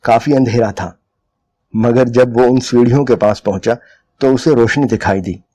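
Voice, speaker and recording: Guy narrating a short story dramatically, mellow warm tone, mid pitch. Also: normal speed. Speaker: dramatic reader of short stories.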